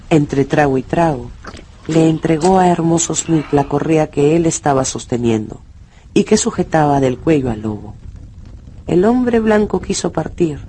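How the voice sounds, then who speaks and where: A man narrating a dramatised radio story with theatrical, deliberate phrasing. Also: fairly high pitch, mellow, slight background noise. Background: music.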